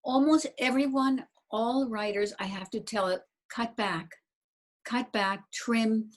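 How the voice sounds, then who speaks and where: A woman's voice: on the high side, fairly even intonation, slow. A woman author talking on a video call about her writing, hesitant, with many fillers and little laughs at herself. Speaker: panel guest author. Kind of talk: casual panel chat.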